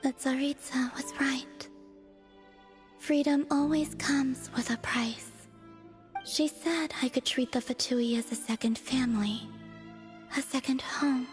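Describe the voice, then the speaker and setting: A high, breathy female voice as a melancholy moon goddess in exile, from a fantasy game. She speaks slowly and solemnly, almost whispering in her weariness, over faint music. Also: steady tone. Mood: quiet disillusionment. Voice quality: soft, breathy, near-whisper. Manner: solemn, measured, wistful. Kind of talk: fantasy video game voice acting. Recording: background music under the voice.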